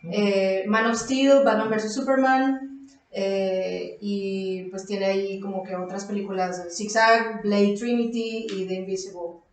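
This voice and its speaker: A woman's voice: mid-range voice, fairly even intonation, unhurried. A woman with a Mexican accent co-hosting a podcast, chatting informally and with slang about comics and audio drama. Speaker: podcast host. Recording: very echoey room.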